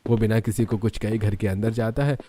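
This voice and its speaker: A man's voice: on the low side, steady tone, brisk, mellow. A man reading scripted narration for a cartoon story.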